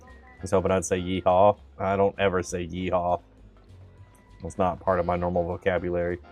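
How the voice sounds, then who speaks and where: A man's voice: sits low, completely flat delivery, normal speed, a little mellow. A man from the Southern United States with a neutral accent. He rambles casually with lots of fillers while commenting on a game he is playing. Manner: rambling and chatty, with many fillers. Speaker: streamer. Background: faint game music.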